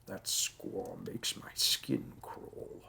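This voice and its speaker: A man voicing a demon interviewer in a roleplay audio drama, dry and condescending with mock sympathy, in a low gravelly voice. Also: very slow, pushed and bright, in a whisper, exaggerated voice. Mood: disappointed. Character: bureaucratic male demon interviewer, disappointed, politely wicked.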